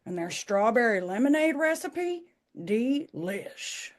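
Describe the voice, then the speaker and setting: A woman's voice: fairly high pitch, very animated, takes it slowly. A woman actor at a table read playing a gushing female entertainment presenter, with theatrical promotional narration. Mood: breezy, showy. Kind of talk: tabloid-style promotional narration.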